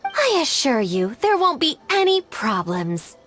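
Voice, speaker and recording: A woman's voice: high voice, huge pitch swings, a little slow, a bit bright, soft background music, exaggerated voice. An actress playing a stern, exasperated young woman in a video game, with a curt, scolding, no-nonsense manner. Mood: apologetic, assuring.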